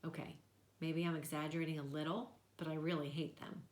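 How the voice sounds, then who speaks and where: A woman giving an expressive read-aloud of a children's novel. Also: low voice, brisk, echoey room.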